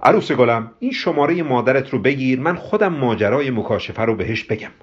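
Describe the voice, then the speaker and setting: Male narrator as jovial bossy man, loud, swooping pitch, raspy, audiobook character, dull recording. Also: lively intonation, lightly acted. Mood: cajoling, surprised. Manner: loud, emphatic, swings into exaggerated formality. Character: boisterous, bossy, jovial man, self-appointed spiritual guide. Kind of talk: acted audiobook storytelling.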